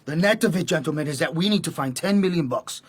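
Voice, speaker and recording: Husky, swaggering American gangster boss. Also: male voice, fairly high pitch, animated, fast talker, dry recording. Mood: cold, businesslike. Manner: brash, mocking, commanding. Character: ruthless swaggering American assassin chairing a gangster cartel. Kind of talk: radio drama acting.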